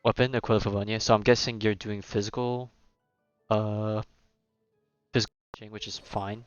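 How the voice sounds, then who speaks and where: A man's voice: on the low side, little pitch movement, moderate pace, clean tone, dry recording, dull audio, soft background music. A man giving casual livestream game commentary, rambling and thinking aloud in a hesitant way. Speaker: game streamer.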